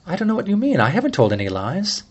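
A man's voice: high-pitched, huge pitch swings, fast talker, breathy edge, highs cut off, pitched above the performer's own voice, full character voice. A man voicing a grieving young woman who falters and stammers through a tearful plea, for an audiobook. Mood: defensive. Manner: faltering, tremulous, stammers under pressure.

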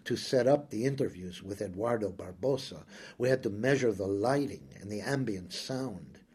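A man reading a first-person story aloud, slow, brooding and intimate. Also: fairly low pitch, expressive, warm tone, husky. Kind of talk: scripted first-person audiobook narration.

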